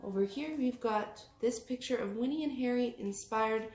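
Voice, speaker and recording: In a roomy, echoing space with clear background noise, a woman reads aloud for children. She phrases things expressively and deliberately, as a tutor would with a picture book. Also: fairly high pitch, darkish tone. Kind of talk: children's story read-aloud. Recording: echoey room, clear background noise, clicks.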